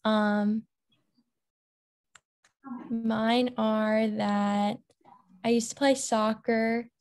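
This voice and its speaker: A woman's voice: fairly high pitch, little pitch movement, extremely slow, darkish tone, no echo. A woman introducing herself casually on a group video call.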